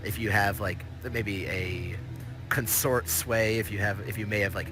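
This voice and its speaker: A man's voice: lowish voice, steady tone, measured pace, over music, natural voice. A man voicing a stammering crab alien broker with a Southern twang. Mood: rambling. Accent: Southern US, as the figure. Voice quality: stammering, talks in tangled restarts. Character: rambling male crab-like alien information broker.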